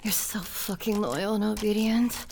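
A woman playing a possessive, adoring elven princess in an intimate adult audio roleplay, breathy and moaning, often dropping into whispers. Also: mid-range voice, fairly even intonation, a little slow, a little mellow, slight background noise. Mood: possessive, passionate.

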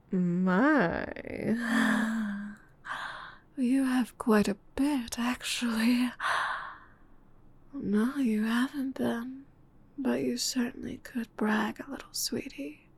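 Young woman, high voice, very slow breathy whisper-heavy delivery, close-mic intimate roleplay. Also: lively intonation. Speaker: audio roleplay performer.

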